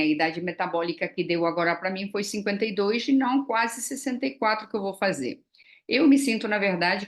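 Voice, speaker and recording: A woman's voice: fairly low pitch, a bit bright. A woman, chatting casually in a vlog and explaining things with frequent fillers and tag questions. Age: 60s. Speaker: vlogger. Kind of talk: casual chat.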